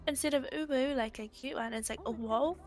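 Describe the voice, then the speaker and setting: A woman's voice: high-pitched, fairly quick. A woman in casual improvised game roleplay, talking in a cutesy, stammering way with repeated words. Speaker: online roleplay player.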